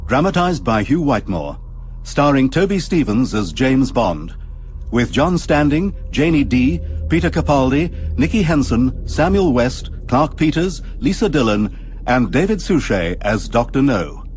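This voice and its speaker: A man's voice: medium pitch, sing-song, a little slow, a little mellow, faint music behind. A man announcing a radio drama in a scripted, formal introduction.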